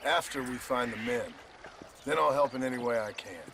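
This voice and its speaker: A stoic American cowboy gunman played by a male actor with a medium-pitched voice. He talks in a terse, deadpan, politely unbending way with an American accent, and there is clear noise behind him. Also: normal speed, close to an everyday voice. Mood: dry politeness. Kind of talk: video game cutscene acting.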